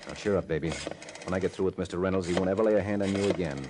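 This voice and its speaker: An actor playing a cocky, wisecracking private detective with an American accent in an old-time radio drama, slangy and pushy. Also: male voice, deep voice, steady tone, very fast, noisy background, lightly acted. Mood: cocky, pressing.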